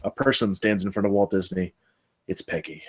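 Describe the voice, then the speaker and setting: A man's voice: sits low, a little fast, breathy edge. A man reading stage directions as the narrator of a screenplay table read.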